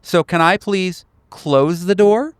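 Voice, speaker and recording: A man's voice: high voice, takes it slowly, no echo, voice pushed up. Tabletop game role: snippy late-forties woman, played by a man, curt, scornful, combative, clean dry sound. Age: middle-aged. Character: irritated, sarcastic late-forties woman slamming doors on agents.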